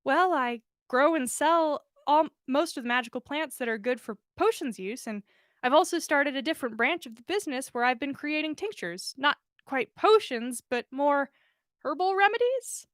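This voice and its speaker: A woman's voice: high voice, animated, moderate pace, fairly bright tone, dry recording, studio quality, pitched above the performer's own voice. A woman reading the part of a shy, earnest young man in a story, hesitant and modest.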